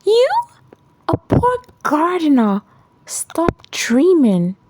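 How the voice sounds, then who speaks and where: A woman storyteller voicing a bitter, cruel young wife who shouts and sneers in a folktale. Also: high-pitched, wildly swooping pitch, very slow, a little hiss or hum, pitched above the performer's own voice, exaggerated voice, low-quality recording. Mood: mocking.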